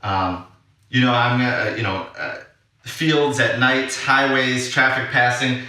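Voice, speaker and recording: A man's voice: medium pitch, steady tone, a little slow, bright, forceful tone. A man talking casually and unscripted, explaining his equipment as a vlog host. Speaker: audio drama maker presenting his equipment. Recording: very echoey room, distant from mic.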